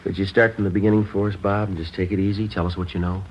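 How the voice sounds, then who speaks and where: Man voicing a deep-voiced terse detective, fast clipped delivery, hissy lo-fi old radio sound. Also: flat delivery, close to an everyday voice. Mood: gentle, patient. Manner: clipped, level, gentle with the boy. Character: terse male homicide detective, calm, matter-of-fact. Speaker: police detective sergeant. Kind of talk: 1950s radio drama acting. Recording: dull lo-fi old radio broadcast with hiss.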